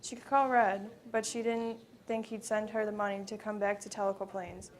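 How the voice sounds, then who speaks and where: A woman reading prose narrative aloud at a live event, in a measured, dramatic way with phrases that trail off. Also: medium pitch, flat delivery. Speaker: student performer in readers theater. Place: live college library event. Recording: live event recording with background noise.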